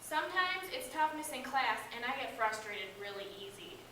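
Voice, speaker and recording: A woman's voice: on the high side, big pitch swings, moderate pace, sharp tone. Young woman as shy schoolboy, very echoey room. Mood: honest, subdued. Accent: American. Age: young adult. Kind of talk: amateur reader's theater. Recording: echoey room, distant microphone, background hiss.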